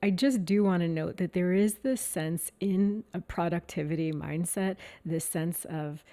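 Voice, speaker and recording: A calm woman, a meditation teacher talking reflectively to the camera in a gentle, measured way. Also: on the low side. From Canada. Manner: calm, gentle and measured, slowing down on key words.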